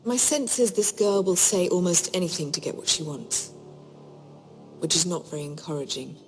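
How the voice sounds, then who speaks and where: An actress playing a haughty, menacing fantasy queen who speaks in a measured, condescending, coldly formal way. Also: on the low side, expressive, soft background music, lightly acted. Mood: probing disdain. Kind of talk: dramatic fantasy game acting.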